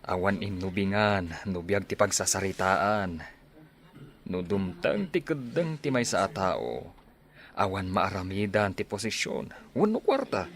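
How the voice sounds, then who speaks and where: A man's voice: low voice, sing-song, unhurried, heavily acted. An actor playing a stern middle-aged village father in a radio drama, with music behind him. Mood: grave. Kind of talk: radio drama acting in Ilocano. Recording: music under the voice.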